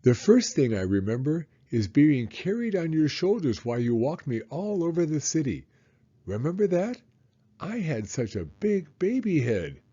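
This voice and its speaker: A man's voice: a little high, big pitch swings, moderate pace, mellow, breathy edge, muffled recording. A man voicing a cheerful young boy in a storybook, talking eagerly and affectionately to his dad. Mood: cheerful, proud.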